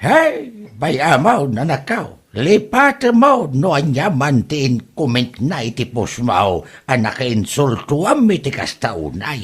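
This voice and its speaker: A man acting an exasperated middle-aged father with a husky voice in an amateur comedy drama. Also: mid-range voice, very animated, slow pace, few pauses, exaggerated voice. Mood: exasperated, concerned. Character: exasperated father, scolding and comforting his grown daughter.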